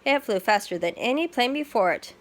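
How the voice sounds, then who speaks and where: Woman as an animated storybook tour guide. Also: on the high side, fairly quick, voice pushed up. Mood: informative. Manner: explains simply in short declarative sentences. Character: informative, welcoming air show tour guide.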